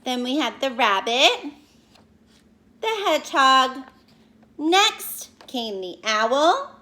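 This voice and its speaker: High-voiced, slow-paced woman reading to children. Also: sing-song, bright, forceful tone. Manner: explains words and addresses children directly. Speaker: elementary school teacher reading aloud to pupils. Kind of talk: expressive children's read-aloud and lesson talk.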